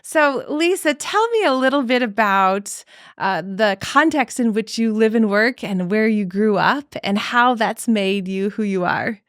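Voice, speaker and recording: A woman's voice: medium pitch, animated, measured pace, no echo. Encouraging woman podcast host, dry sound. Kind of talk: podcast interview.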